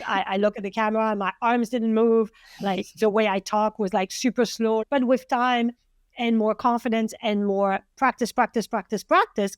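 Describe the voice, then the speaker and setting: A woman with a French accent hosting a podcast interview, talking in an animated, encouraging way with strong emphasis. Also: a little high, no echo. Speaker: podcast host.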